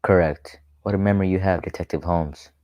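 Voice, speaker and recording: A man playing a smug, scheming gentleman villain in a radio mystery, taunting with mock politeness. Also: low voice, steady tone, a little fast, dry recording, dull audio, natural voice. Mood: breezy, sardonic. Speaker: actor in a student radio drama. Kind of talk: old-time radio mystery acting.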